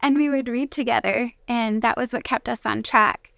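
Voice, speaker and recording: A woman's voice: a little high, normal speed, gravelly, fairly bright tone, dry recording. A woman, a mother being interviewed, talking candidly and casually in personal anecdotes.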